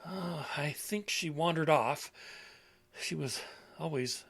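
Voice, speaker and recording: A man's voice: fairly high pitch, fairly bright tone, husky, heavily acted. An actor playing an elderly widower with failing memory in an audio drama, meek and muddled, stammering as he hunts for words. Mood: defensive, wistful. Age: older. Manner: halting, stammering, searching for words.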